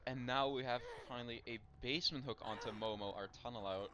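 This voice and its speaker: A man commentating a live esports match, analytical but breaking into excited outbursts. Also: medium pitch, fairly quick. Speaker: esports caster. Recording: loud noise on a stream mic.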